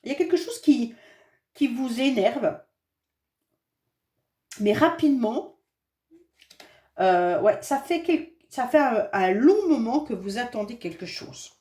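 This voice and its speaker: A woman's voice: a little high, lively intonation, slow, pauses often, mellow, reverberant. A French-accented woman with a head cold giving a chatty, reassuring monthly tarot reading, full of hesitations and fillers. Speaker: tarot card reader. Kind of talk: monthly astrology card reading.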